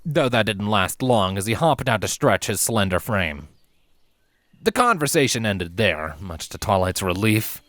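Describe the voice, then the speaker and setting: Lively low-voiced man narrating a story. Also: a little slow, no echo, studio quality. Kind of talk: expressive scripted story narration.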